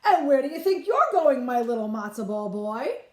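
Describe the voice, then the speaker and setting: A woman's voice: a little high, big pitch swings, normal speed, mellow. A woman voicing a fussing little old grandmother from a children's storybook, crying out and scolding in a roomy space. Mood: surprised, scolding. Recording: roomy, echoing room sound.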